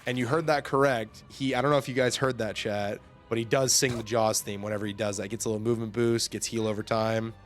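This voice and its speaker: A young man hosting a casual livestream, rambling and laughing often, his voice getting hoarse from talking. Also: lowish voice, fairly even intonation, fast, few pauses, faint music behind. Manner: chatty, rambling, laughs often, lots of fillers. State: losing his voice, throat-clearing. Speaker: livestream host. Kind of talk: casual game overview chat.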